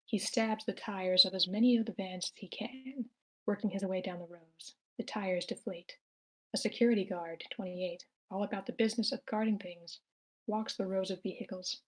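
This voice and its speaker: Casting: female narrator with a medium-pitched voice, reading scripted scene descriptions. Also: steady tone, normal speed, a bit bright, low-quality recording. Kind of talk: reading screenplay stage directions aloud.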